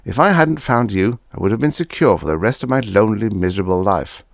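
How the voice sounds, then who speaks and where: A man's voice: on the low side, expressive, fast talker, gravelly, dry recording, clean studio sound, pitched above the performer's own voice. A man voicing a desperate, cynical young widower in a science fiction audiobook, insistent and pleading. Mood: eager, nervous.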